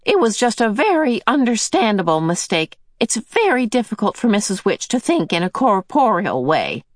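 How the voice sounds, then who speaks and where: A woman's voice: mid-range voice, sing-song, dry recording, studio quality, voice pushed up. A woman voicing a cheerful, motherly old otherworldly female being who soothes and fusses. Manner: bright and soothing, brisk.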